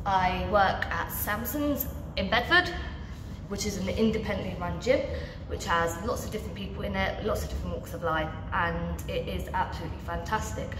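A woman's voice: medium pitch, moderate pace. A young woman talks casually into a phone held at arm's length while walking through a big indoor gym. Her chat is upbeat, with ums and small restarts. Her voice rings with the long reverb of the open hall, over a faint background hum. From England. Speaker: personal trainer. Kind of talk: casual vlog walkthrough.

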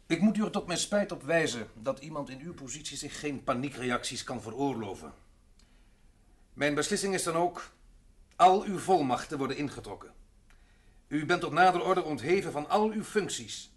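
An actor playing a cold, formal president in an old radio play, speaking sternly and officially. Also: male voice, fairly high pitch, animated, normal speed, natural voice. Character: cold, formal head of state passing judgment. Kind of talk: 1960s radio play acting.

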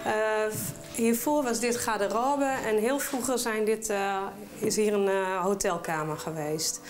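A middle-aged woman, a hotel owner, answering interview questions casually in a hesitant, halting way. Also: medium pitch, soft background music. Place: indoors in a hotel bar and dining room.

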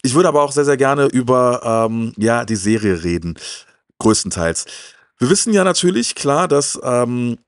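A man's voice: medium pitch, animated, normal speed, no room sound, clean studio sound. A man in his thirties hosting a show, chatting casually into a handheld microphone. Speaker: host of a retro TV show. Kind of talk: casual chat to viewers and guest. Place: indoors, small room full of media shelves.

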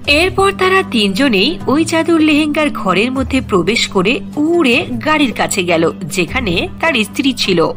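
High, animated woman storyteller. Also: moderate pace. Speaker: narrator. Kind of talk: scripted fairy-tale cartoon narration. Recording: music behind the voice.

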